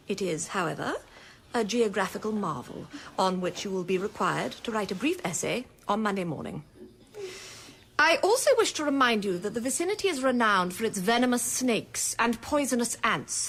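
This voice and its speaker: Stern headmistress, pompous and measured, animated intonation, old radio recording with faint noise. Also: female voice, medium pitch. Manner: pompous, measured, formal diction. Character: stern, formal headmistress of a girls' college, imperious. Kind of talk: radio drama acting.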